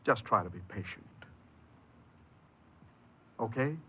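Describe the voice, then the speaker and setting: An actor playing a firm, patient parole official in a 1950s American radio drama, measured and reasoning with authority. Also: male voice, lowish voice, expressive, very noisy. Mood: firm, reassuring. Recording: muffled old radio recording.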